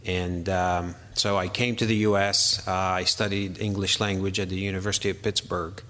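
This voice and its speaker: A man's voice: deep voice, little pitch movement, normal speed, sharp tone, long reverb tail. A man in his fifties, originally from Syria, answering interview questions as a structural engineer, hesitant with many fillers.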